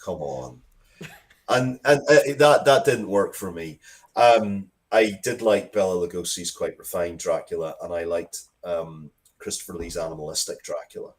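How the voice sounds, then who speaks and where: A man speaking casually as an interview guest, thoughtful and halting, often repeating words as he works out his point. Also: low-pitched. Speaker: interview guest, writer of Dracula comics and media.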